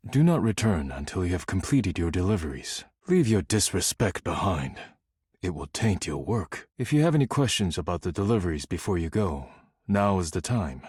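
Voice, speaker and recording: A male actor playing a stern, proud, easily offended dishware character in a video game, speaking formally and curtly with solemn deadpan. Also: sits low, normal speed, slightly rough, no echo, studio quality, heavily acted. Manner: formal, curt, deadpan, solemn, avoids contractions.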